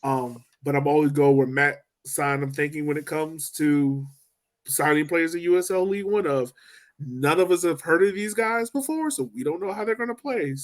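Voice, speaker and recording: A man's voice: fairly high pitch, normal speed. A man co-hosting a sports podcast, talking loosely with lots of "um" and "like". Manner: loose and chatty, lots of "um" and "like", laughs easily. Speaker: podcast co-host. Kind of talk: casual sports chat.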